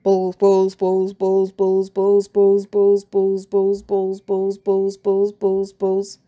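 A woman's voice: mid-range voice, completely flat delivery, very slow, dark tone. A woman voicing a ball-obsessed male schoolteacher who bellows and chants frantically. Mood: obsessive. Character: ball-crazed shouting male teacher.

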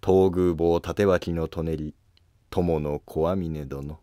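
Man acting scheming nobleman, historical cartoon, mock-courteous, needling, slow, dry sound. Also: sits low, steady tone, mellow, no room sound. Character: sly, smugly polite scheming court nobleman. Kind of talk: Japanese historical animated drama acting.

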